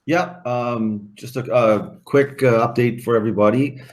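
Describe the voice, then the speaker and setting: A man's voice: mid-range voice, fairly even intonation, measured pace. A man giving a casual update to a group on a conference call. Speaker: program update presenter.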